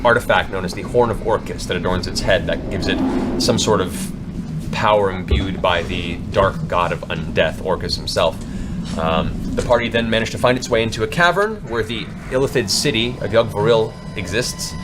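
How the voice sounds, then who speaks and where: A man's voice: fairly low pitch, normal speed, heavy background noise. A man narrating a tabletop fantasy game as game master, with a dramatic, descriptive storytelling manner.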